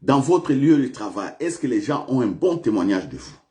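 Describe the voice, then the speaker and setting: A man's voice: medium pitch, very animated, normal speed, a little mellow. A male pastor preaching a sermon in a church, repeating questions to the congregation with big swings of emphasis.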